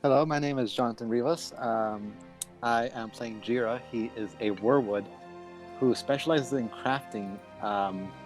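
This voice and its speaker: A man's voice: medium pitch, normal speed, soft background music. A man who plays tabletop games, introducing his character. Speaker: tabletop game player.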